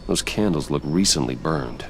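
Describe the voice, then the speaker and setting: An actor playing a stern, controlled masked vigilante detective in a video game, speaking in a grave, measured, terse way. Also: male voice, a bass voice, animated, slight background noise. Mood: focused. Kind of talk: dramatic video game acting.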